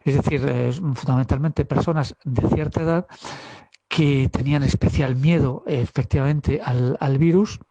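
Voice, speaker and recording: A man's voice: medium pitch, fairly even intonation, normal speed, dark tone, lo-fi sound. A man from Valencia with a Spanish accent, a theater director who speaks in a thoughtful way and often restarts his phrases. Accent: Spanish from Spain. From Valencia, Spain. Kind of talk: recorded interview clip.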